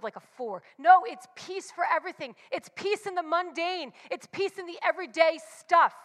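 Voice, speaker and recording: Woman, 40s, bright forceful tone, confessional devotional talk, reverberant room. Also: sits high. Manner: candid and confessional, emphatic repetitions. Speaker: church speaker.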